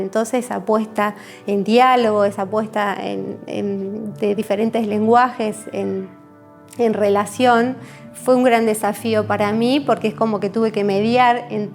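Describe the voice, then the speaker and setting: A woman with an Argentine accent talks in an explanatory interview style, as a communicator would. Her voice sits at a middling pitch, with music playing in the background. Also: fairly even intonation, a little slow, vocal fry, a little mellow. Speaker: communicator interviewed about a university project.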